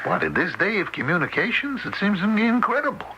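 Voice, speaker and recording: An actor playing a stubborn, devoted, excitable husband, emphatic and pressing, in old-time radio drama style. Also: male voice, high voice, fast talker, dull audio, exaggerated voice. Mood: coaxing, confident. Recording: hissy vintage radio play recording.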